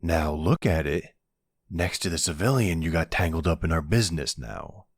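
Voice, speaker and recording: A man's voice: lively intonation, moderate pace, no echo, clean studio sound, full character voice. A man playing a weary, exasperated but courteous mafia boss in an ASMR audio roleplay, with wry, colloquial delivery. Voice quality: deep, smooth, gravelly creak. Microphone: close, dry microphone.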